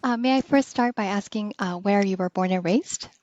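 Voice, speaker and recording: A woman's voice: medium pitch, normal speed, darkish tone. A woman hosting an interview in a courteous, formal and prepared way, on a slightly dull recording. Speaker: podcast interviewer, host.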